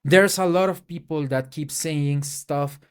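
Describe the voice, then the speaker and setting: Slow, halting Mexican-accented man. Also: on the high side, clean tone. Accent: Mexican Spanish. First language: Spanish. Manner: hesitant, many fillers and restarts. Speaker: tech YouTuber. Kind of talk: casual solo rant to camera.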